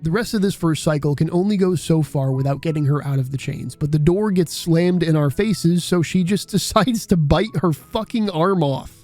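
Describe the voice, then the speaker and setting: A man's voice: a little high, moderate pace, few pauses, darkish tone, faint music behind. A man reading scripted video essay narration in a wry, casual way.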